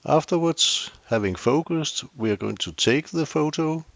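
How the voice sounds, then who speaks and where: A man's voice: fairly high pitch, lively intonation, unhurried, vocal fry, dry recording, muffled recording. An older Danish man with a Danish accent, hosting a camera tutorial with dry, self-deprecating humor. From Denmark. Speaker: tutorial host.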